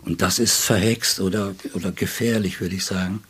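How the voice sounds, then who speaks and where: A man in his seventies from northern Germany, an interview guest telling stories in a reflective, deliberate, wry way. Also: low voice, steady tone, fairly bright tone, slightly rough. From Friesland, northern Germany. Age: 70s. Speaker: poet and literary editor, interview guest. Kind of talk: reflective conversational storytelling. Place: indoors, in his home study.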